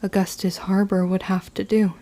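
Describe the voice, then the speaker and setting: A woman playing a grim, resolute young witch in an audio drama, measured and solemn in delivery. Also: medium pitch, little pitch movement, mellow, lightly acted. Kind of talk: scripted first-person audio drama narration.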